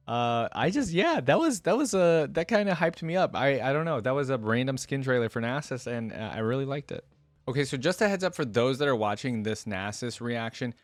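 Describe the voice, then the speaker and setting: A man hosting a reaction video, giving informal, excitable commentary and thinking aloud as he goes. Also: mid-range voice, dry recording. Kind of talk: casual reaction commentary with some reading aloud.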